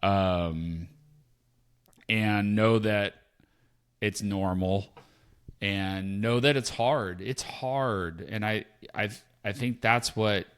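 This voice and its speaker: A man in his fifties with a fairly low voice talks in a reflective, unhurried way. He rambles and restarts his sentences in casual podcast chat. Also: clear, resonant tone. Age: 50s. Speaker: podcast co-host, parent.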